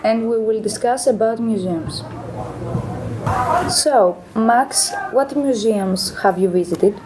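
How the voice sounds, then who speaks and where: A woman's voice: fairly high pitch, slow pace. A teenage girl from Greece hosting a student podcast, asking questions and passing turns to others. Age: teens. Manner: asks questions, moderating. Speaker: student host. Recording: noticeable background hiss.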